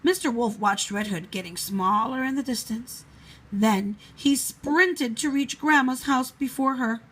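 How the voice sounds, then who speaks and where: Husky, slow-paced woman storyteller. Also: fairly high pitch, a bit bright, rough recording. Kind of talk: children's storybook narration.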